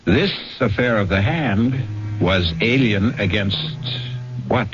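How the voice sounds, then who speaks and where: An actor playing a grave, ominous storyteller, narrating an old-time radio mystery in a deliberate, suspenseful way. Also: male voice, fairly low pitch, expressive, highs cut off. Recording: band-limited old radio, music behind.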